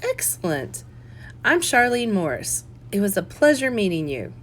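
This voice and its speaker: A woman reader voices an independent grown daughter from a cozy mystery novel. The delivery is firm and earnest, with plenty of melodic movement in the pitch. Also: sing-song, normal speed, a little hiss or hum. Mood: polite. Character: grown daughter, independent, exasperated yet polite innkeeper.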